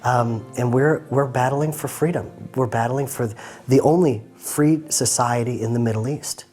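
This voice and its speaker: A man's voice: medium pitch, moderate pace, a little mellow, faint music behind. A man in his thirties from Atlanta, giving an earnest personal testimony as an interview guest in short fervent phrases. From United States (Atlanta).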